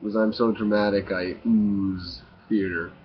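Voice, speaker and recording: A man in his late twenties with a low voice talks casually and confessionally, as if to his own vlog audience. His delivery is slow and halting, stopping and starting as he goes. The recording is muffled and lo-fi. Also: fairly even intonation. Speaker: video blogger. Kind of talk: casual confessional monologue to camera. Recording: muffled lo-fi with faint hiss.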